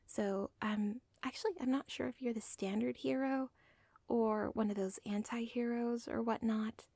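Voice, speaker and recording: A young woman voicing an obsessive villain's daughter in an ASMR roleplay, rambling in soft whispered asides that break the fourth wall. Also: fairly high pitch, steady tone, moderate pace, gravelly, no room sound, clean studio sound. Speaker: audio roleplay performer.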